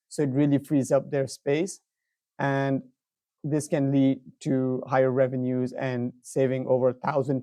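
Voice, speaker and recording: A man giving an informal product demo as a presenter, explaining things in a halting way with frequent filler pauses. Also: medium pitch, mellow, no echo.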